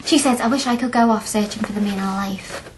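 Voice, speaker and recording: An actress playing a chatty, blunt young working-class student in a radio play, speaking colloquially and earnestly. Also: female voice, mid-range voice, fast, slight background noise, lightly acted.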